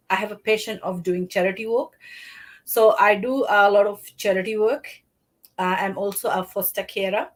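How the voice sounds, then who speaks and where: Friendly woman on a video call. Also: medium pitch. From Fiji. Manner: friendly, laughing, rambling with fillers. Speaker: guest. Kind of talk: casual live video interview. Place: home in Sydney, afternoon.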